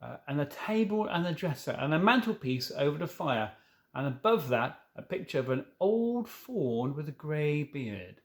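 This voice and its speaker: A man's voice: a little high, very animated, moderate pace. A man reading a children's novel aloud, stumbling now and then and correcting himself, with informal asides. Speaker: storybook reader.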